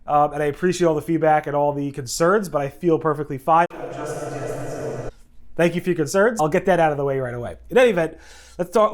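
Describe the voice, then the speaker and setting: A congested man with a head cold, hosting a casual video news recap in a rambling way, often correcting himself and adding quick asides. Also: fairly high pitch.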